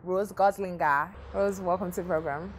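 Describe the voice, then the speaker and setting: A woman hosting an interview program, asking the guest questions in a brisk, upbeat way. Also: lowish voice, steady tone, a little hiss or hum.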